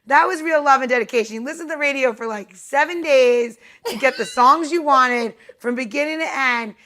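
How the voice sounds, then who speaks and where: A woman with a fairly high voice, co-hosting in loose, casual banter. She is playful and emphatic, stressing her points and drifting happily into side topics. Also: brisk, a bit bright. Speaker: podcast co-host.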